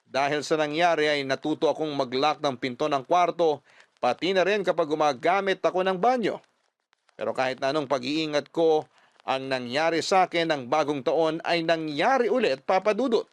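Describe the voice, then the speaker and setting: A man's voice: on the high side, no echo. A man reading a scripted first-person story as a radio-style storyteller.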